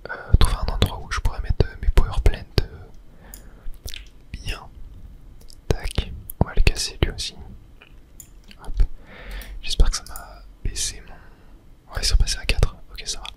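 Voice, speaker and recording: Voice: a little slow, pauses often. A host whispering casual ASMR commentary over a video game, hesitantly thinking aloud.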